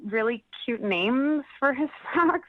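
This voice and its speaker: A woman's voice: high voice, lively intonation, takes it slowly, narrow, tinny audio. A woman chatting casually as a radio show guest, hedging as she goes with a giggly, hesitant manner. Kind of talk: casual phone chat.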